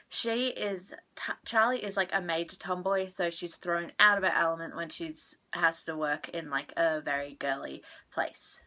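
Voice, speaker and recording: A woman's voice: lowish voice, bright, forceful tone. A young woman chatting casually about books she read, a little stuffed up from sneezing, rambling and correcting herself. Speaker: book vlogger. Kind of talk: casual book wrap-up chat.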